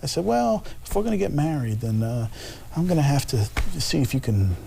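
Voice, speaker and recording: Guy interviewing, rambly story-telling with lots of uhs, a touch higher voice. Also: big pitch swings, creaky, warm tone, slight background noise. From New Jersey. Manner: rambling storyteller, halting with fillers. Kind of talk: casual chat.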